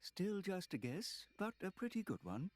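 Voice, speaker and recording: A man playing a thoughtful, seasoned companion in a video game, speaking in a deliberate way. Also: sits high, sing-song, darkish tone, no echo. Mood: considered. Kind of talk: video game cutscene acting.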